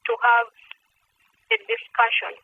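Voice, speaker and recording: A woman's voice: a little high, very animated, takes it slowly, vocal fry, husky, dry recording. A woman from Uganda with a Ugandan accent, speaking earnestly as an activist guest and repeating phrases for emphasis, over a telephone conference line. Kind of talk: earnest advocacy talk on a conference call. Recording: tinny, noisy phone conference line.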